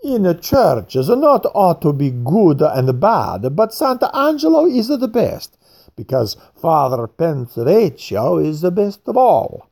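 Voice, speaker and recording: A reader voicing a pompous eighty-two-year-old rich man with an Italian accent who speaks English slowly, carefully and formally. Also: mid-range voice, very animated, gravelly, dark tone, pitched above the performer's own voice, heavily acted. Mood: measured. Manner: slow, careful, formal, bowing.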